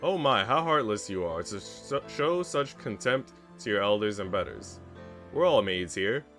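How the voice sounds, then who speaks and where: A man's voice: fairly low pitch, animated, a little slow, fairly bright tone. A man voicing a haughty woman, a senior housemaid who bullies with false sweetness in a theatrical, condescending way. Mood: mock-wounded. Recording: music behind the voice.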